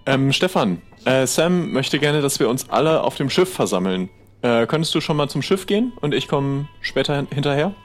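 A man's voice: medium pitch, big pitch swings, moderate pace, soft background music. A man playing along in an improvised pirate audio drama, casual and thinking aloud. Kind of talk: casual improvised role-play dialogue.

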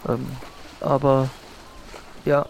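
A man's voice: mid-range voice, flat delivery, very slow, gravelly, darkish tone, slight background noise, rough recording. A man playing a hesitant, grateful shipwrecked seaman in an improvised audio drama, stumbling over and repeating his words. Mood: earnest, grateful. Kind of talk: improvised audio role-play.

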